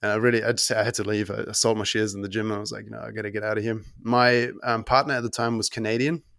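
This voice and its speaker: A man in his thirties from Germany, whose accent mixes German and New Zealand, talking as a podcast guest in a relaxed, rambling way with many ums and restarts. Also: fairly low pitch, fast talker, creaky, clear, resonant tone, no room sound, studio quality. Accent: mix of German and New Zealand. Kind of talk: casual conversational interview.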